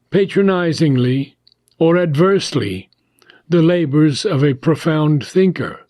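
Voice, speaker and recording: A man narrates a philosophical audiobook in a slightly raised register. His delivery is very slow and measured, broken into short, deliberate phrases with frequent pauses. The sound is dry, with no room around the voice. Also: a little high, a little mellow, dry recording. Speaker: audiobook reader. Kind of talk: reading a philosophical text aloud.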